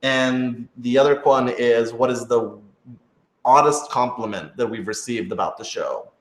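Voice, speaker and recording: A man's voice: medium pitch, a little slow. A man chatting casually and unscripted as a writer-director answering questions, informal, hedging and self-deprecating. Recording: roomy, echoing room sound.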